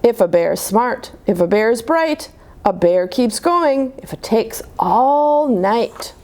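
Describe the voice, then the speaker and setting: Woman playing a boastful, slow-talking father bear. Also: a little high, expressive, a little mellow. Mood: self-assured. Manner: pompous, emphatic declarations. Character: boastful, confident father bear, folksy and stubborn.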